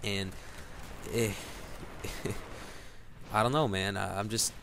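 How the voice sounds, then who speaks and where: Low-voiced young man rambling through a story. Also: fairly even intonation, extremely slow, gravelly, noisy background. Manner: rambling, with frequent fillers and restarts. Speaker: vlogger. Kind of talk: casual storytelling to camera.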